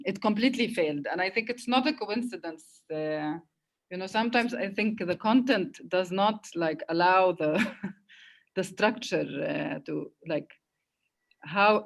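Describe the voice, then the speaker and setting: A woman's voice: lowish voice, gravelly. A woman hosting a casual online conversation, speaking reflectively with hesitations and restarts. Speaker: host.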